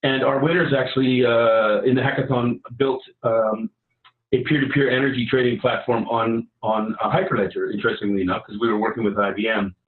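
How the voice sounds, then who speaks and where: A man with a Canadian accent giving an informal project pitch in a conversational, somewhat halting way. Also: fairly even intonation, phone-quality sound. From Vancouver, Canada. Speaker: editor and hackathon participant pitching a project. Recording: roomy, echoey sound.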